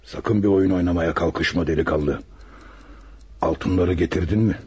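Villain role: greedy crime boss, low gravelly growl, swaggering threats, muffled radio drama sound. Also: male voice, moderate pace, a little mellow. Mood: threatening. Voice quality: gravelly, husky. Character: menacing, greedy, distrustful male gang boss and robbery mastermind. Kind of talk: Turkish radio drama acting.

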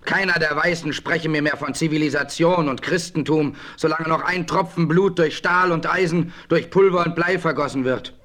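An actor playing an outspoken settler farmer in a radio play, speaking earnestly and with passion. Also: male voice, a little high, moderate pace, hardly any pauses, a bit bright, close to an everyday voice. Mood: impassioned. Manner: earnest, impassioned.

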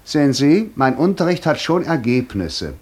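Suave devil, mocking, ironic, theatrically courteous, classic radio drama. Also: male voice, medium pitch, very animated, moderate pace, darkish tone, breathy edge. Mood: sly, teasing. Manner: sly, ironic, theatrically polite. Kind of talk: classic German radio play acting.

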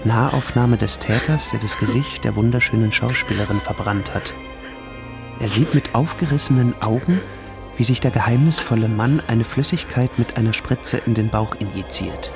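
A man's voice: mid-range voice, moderate pace, gravelly, music in the background, natural voice. An actor playing a brooding murderer who solemnly narrates his own fate like a film script in a radio drama. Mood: solemn, ominous.

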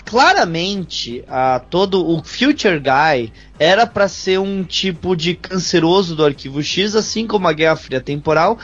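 A man's voice: high-pitched, lively intonation, unhurried, few pauses, soft background music. A Brazilian man giving blunt, slangy opinions in a casual panel chat, recorded in dull lo-fi audio. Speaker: podcast panelist. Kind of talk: casual, opinionated chat about a sci-fi series.

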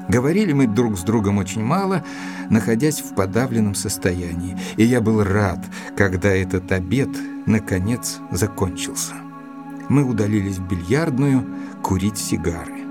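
A man narrating an audio drama in the first person, reading scripted literary prose. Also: on the low side. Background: faint music.

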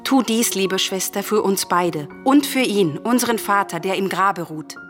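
An actress playing a bitter, unyielding young princess in a classical radio tragedy, declaiming gravely and deliberately. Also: female voice, medium pitch, soft background music, natural voice. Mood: solemn, pleading.

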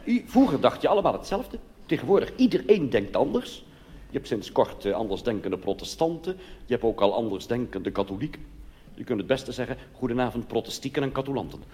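A man's voice: mid-range voice, big pitch swings, fairly quick, dark tone, slight background noise. A man performing a live comic monologue as a cabaret artist, conversational with wry asides, in a reverberant theatre. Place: theatre stage.

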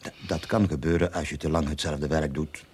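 An actor playing a guarded bank director in a radio play, formal, hesitant and curt, with a husky voice. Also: male voice, very deep voice, fairly even intonation, moderate pace, a little mellow, a little hiss or hum. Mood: formal, regretful. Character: stiff, guarded male bank director, officious.

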